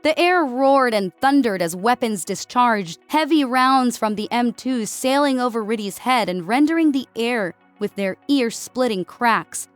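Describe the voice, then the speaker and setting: A woman's voice: fairly high pitch, animated, unhurried, few pauses, no echo, faint music behind. A woman giving a dramatic scripted narration of an action story as an audiobook narrator.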